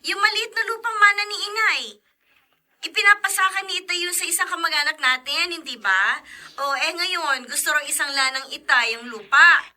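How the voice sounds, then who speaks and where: Very high, sharp younger sister. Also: female voice, moderate pace, exaggerated voice. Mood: anxious, informing. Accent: Filipino. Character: worried younger sister relaying family news. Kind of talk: Filipino radio drama acting.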